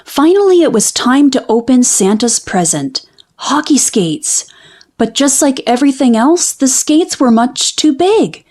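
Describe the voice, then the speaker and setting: Female storybook narrator, higher-pitched and very animated, for children's read-aloud. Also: a little slow. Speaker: children's book reader.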